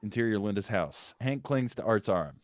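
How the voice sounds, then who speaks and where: A man's voice: fairly low pitch, expressive, moderate pace, no room sound. A man, the writer, reading out screenplay stage directions as narrator at a live table read.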